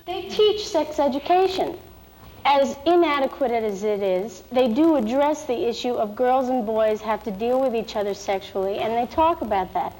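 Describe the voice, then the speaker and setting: A woman's voice: fairly high pitch, animated, normal speed, a little mellow. A woman speaking in an interview, making an emphatic, persuasive point. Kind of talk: interview speech. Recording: reverberant room.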